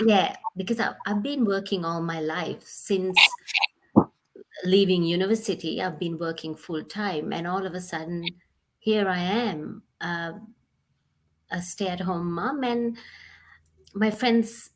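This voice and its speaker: Woman, 40s, from Sri Lanka, guest on a video call; low, slow, easygoing storytelling with fillers. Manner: unhurried, many "um"s, laughs often. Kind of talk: casual video call interview.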